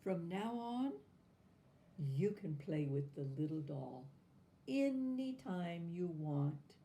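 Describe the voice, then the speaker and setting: A kind old grandmother comforting a child, her voice soothing and tender, as a woman reads her part. It is mellow and slow, with a faint hiss in the background. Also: on the low side, big pitch swings, full character voice.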